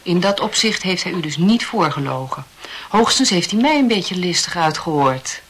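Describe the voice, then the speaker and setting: An actress playing a cool young lady in a radio play, polite and formal, questioning with a gentle tease. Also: lowish voice, expressive, moderate pace, breathy edge. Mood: probing.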